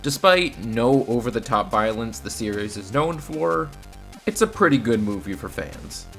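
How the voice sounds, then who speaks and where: A man's voice: medium pitch, lively intonation, moderate pace, creaky, fairly bright tone. A man narrating a scripted film review in a casual, wry, opinionated way. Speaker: video host, film reviewer. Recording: voice-over with faint music. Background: faint background music.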